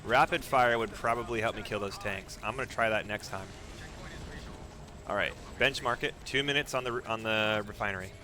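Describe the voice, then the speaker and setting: A man's voice: on the low side, normal speed, noisy background. A man giving live speedrun commentary as he plays, narrating his own moves, repeating phrases and using mild exclamations instead of swear words. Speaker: video game streamer and speedrunner.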